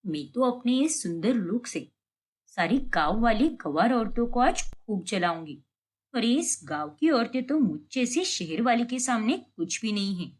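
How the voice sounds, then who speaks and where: A woman's voice: fairly high pitch, big pitch swings, measured pace. A woman voicing a vain, resentful middle-aged mother-in-law in a cartoon family drama, whiny and self-important. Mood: smug.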